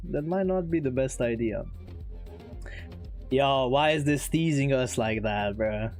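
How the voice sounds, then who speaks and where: A man's voice: medium pitch, measured pace, darkish tone. A man with English as his second language, chatting casually on a live trading stream, laughing often and reading chat aloud. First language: not English. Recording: faint music behind.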